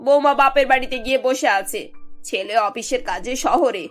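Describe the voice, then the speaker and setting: A woman voicing a put-upon, quietly scheming older mother-in-law in a cartoon, grumbling in a confiding way. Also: high-pitched, normal speed, faint music behind, close to an everyday voice. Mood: plaintive. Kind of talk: animated cartoon dialogue.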